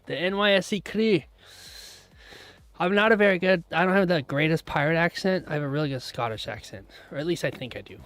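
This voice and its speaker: A man's voice: a little high, brisk, fairly bright tone, dry recording. A man hosting a live trading stream, chatting casually with his viewers, laughing often and rambling through asides. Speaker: trading livestream host.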